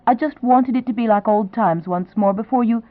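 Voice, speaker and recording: A woman's voice: on the high side, moderate pace, no room sound, pitched above the performer's own voice, close to an everyday voice. A woman voicing a young woman who chatters eagerly and gushes. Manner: eager, gushing, lively. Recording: tinny, phone-like sound.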